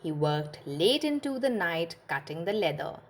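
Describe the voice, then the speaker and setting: A woman narrating a children's storybook with expressive, deliberate phrasing, as a storyteller to young listeners. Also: fairly low pitch.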